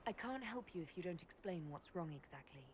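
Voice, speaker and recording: Woman playing a composed, low-voiced fantasy leader. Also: lively intonation, a little fast, noisy background, close to an everyday voice. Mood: dry, wry. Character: composed, earnest young female fantasy leader, dryly wry. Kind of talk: video game character acting.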